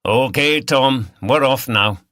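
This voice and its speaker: A man voicing a thoughtful, brisk senior police detective in an audiobook, measured and authoritative. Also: medium pitch, bright, forceful tone, no echo, clean studio sound, voice pushed up.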